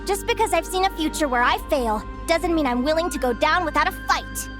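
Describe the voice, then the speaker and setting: A woman's voice: high voice, brisk, lightly acted. An actress playing an earnest, friendly young woman competitor, speaking over game music. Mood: determined. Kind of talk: game voice acting.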